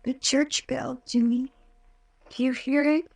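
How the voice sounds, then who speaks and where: A woman's voice: sits high, fairly even intonation, takes it slowly, vocal fry, exaggerated voice. A woman playing a fragile, distressed young sweetheart, weary and clinging, in an old-time radio horror drama. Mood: weary, faint. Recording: roomy, distant old radio recording.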